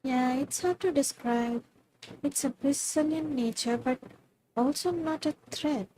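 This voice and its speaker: A woman's voice: sits high, a little slow, dark tone, a little hiss or hum, rough recording. A woman from India with an Indian accent, chatting casually and reading game lines aloud on a livestream. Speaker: game streamer.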